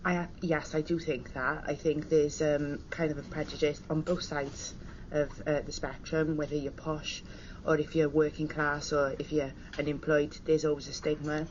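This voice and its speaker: A woman with a broad Cardiff accent, plain-spoken and direct as a radio guest. Her voice is notably low for a woman. The broadcast sound is dull and slightly hissy. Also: flat delivery, normal speed, a little mellow. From Cardiff, Wales. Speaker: studio guest. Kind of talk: radio discussion. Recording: dull broadcast audio with faint hiss.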